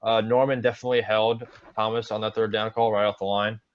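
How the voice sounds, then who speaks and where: A young man co-hosting a casual sports podcast, joking around and talking with quick, animated asides. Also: lowish voice. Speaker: podcast co-host. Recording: dull lo-fi sound.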